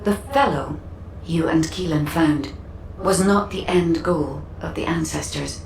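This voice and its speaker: A woman playing a composed, analytical guide in a sci-fi game, speaking in formal, deliberate, grave exposition. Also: sits low, darkish tone, a little hiss or hum. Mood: measured, explanatory. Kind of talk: scripted game dialogue, measured exposition. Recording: echoing voice over faint game ambience.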